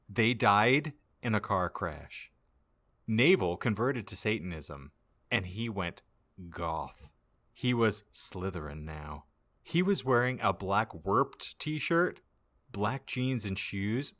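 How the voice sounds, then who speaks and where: A man's voice: lowish voice, fairly bright tone. A man narrating comic fan fiction as a podcast host, dramatically reading out garbled misspellings as written.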